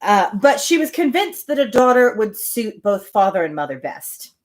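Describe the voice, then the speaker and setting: A woman's voice: mid-range voice, big pitch swings, normal speed. A woman reading a classic novel aloud to a listener, breaking off for sarcastic, joking comments. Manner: wry, sarcastic interjections between passages. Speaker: reader and commentator.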